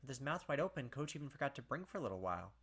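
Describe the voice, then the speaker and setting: A man's voice: medium pitch, very animated, racing, fairly bright tone, slightly rough. A man hosting a let's play, reading the story aloud and chatting casually, with lots of filler words. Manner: chatty, filler-heavy with frequent "like". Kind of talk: casual game commentary and read-aloud.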